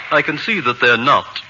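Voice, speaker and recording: A man's voice: medium pitch, sharp tone, muffled recording, full character voice. Fussy nosy neighbor guy, flustered, swoopy voice, noisy old radio comedy. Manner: fussy, flustered, over-polite. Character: prissy, nosy, gossiping male neighbor. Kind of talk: 1940s radio comedy acting.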